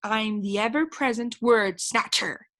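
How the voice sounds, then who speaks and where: A woman voicing a mischievous male bird who cackles and teases, speaking loudly and drawn out. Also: on the high side. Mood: teasing. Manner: loud, drawn-out, gleeful teasing.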